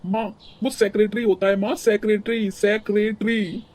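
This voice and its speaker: A woman's voice: medium pitch, flat delivery, normal speed, background noise, natural voice. A woman playing an exasperated, hot-tempered young city husband in a cartoon, scolding and impatient. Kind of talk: cartoon story dialogue.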